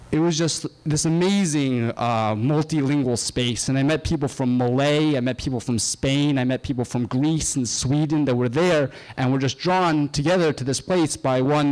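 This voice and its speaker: A man's voice: a little high, a little mellow, low-quality recording. Young man telling a rambling story. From Japan. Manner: halting, full of uh and um, chatty and wandering. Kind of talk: casual personal storytelling to an audience.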